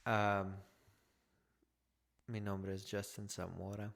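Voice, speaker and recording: Slow, gravelly Texan man. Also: fairly low pitch, flat delivery, a bit bright. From Texas, USA. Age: 30s. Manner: halting, restarts often, slips into English words. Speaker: podcast co-host telling his testimony. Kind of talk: casual faith testimony.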